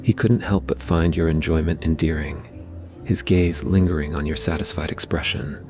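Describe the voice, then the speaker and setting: A man's voice: very deep voice, fairly even intonation, moderate pace. A man narrating a scripted romantic ASMR story.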